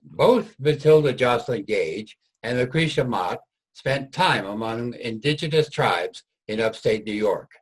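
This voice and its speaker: A man giving scripted historical narration in a formal, measured way. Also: mid-range voice, expressive, slightly rough, poor audio.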